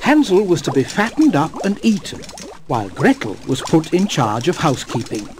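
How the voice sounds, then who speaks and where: A man narrating a scripted fairy tale as storyteller, in an expressive manner. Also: fairly high pitch, normal speed, noisy background.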